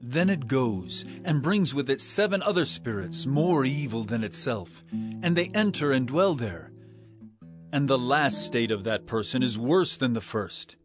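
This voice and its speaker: A man's voice: mid-range voice, expressive, measured pace, music in the background, lightly acted. An actor playing a stern, earnest male teacher with authority, declaiming emphatically in a dramatized scripture production. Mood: solemn warning.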